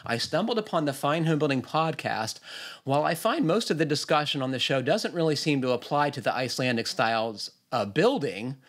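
A man's voice: fairly high pitch, animated, brisk, a bit bright. Guy hosting a podcast from home, lots of uhs, reading out emails. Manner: hesitant, many fillers. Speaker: podcast host. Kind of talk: casual chat, reading listener emails. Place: home room, video call.

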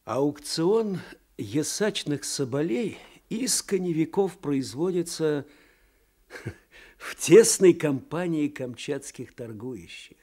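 A sly provincial russian guy in a radio play, very animated pitch, soft dark voice. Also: slow. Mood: wry, confiding. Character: wry knowing Russian provincial man advising an official. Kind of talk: radio drama acting.

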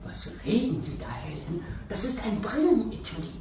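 An actress playing a brisk, haughty middle-aged daughter of an ailing lady in a German radio play. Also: mid-range voice, big pitch swings, background noise, close to an everyday voice. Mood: incredulous, stern. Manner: formal, dismissive. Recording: distant microphone in echoey room.

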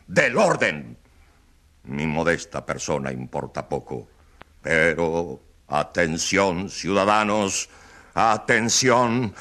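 An actor playing a pompous, evasive politician who defends himself with grandiose courtroom oratory in a radio drama. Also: male voice, medium pitch, bright, forceful tone, full character voice.

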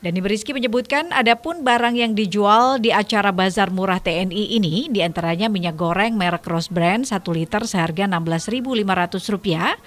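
The mid-pitched woman you hear narrating a field report on local evening television news. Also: fairly quick. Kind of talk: scripted voice-over narration.

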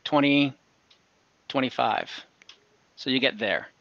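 A man running a tabletop game as dungeon master over a video call, relaxed and hesitant, thinking aloud with many fillers. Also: medium pitch, slow pace, gravelly, no room sound. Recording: dull lo-fi video call audio.

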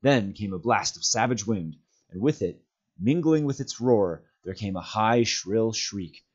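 A man's voice: fairly low pitch, animated, measured pace, husky, highs cut off. A man reading a fantasy novel aloud as a storyteller, a volunteer reader narrating.

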